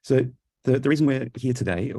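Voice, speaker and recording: A man's voice: medium pitch, a little fast, mellow, dry recording, studio quality. A man with an Australian accent, a professor giving a virtual conference talk with many fillers and restarts, speaking through Bluetooth headphones. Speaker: speech neuroscience professor presenting.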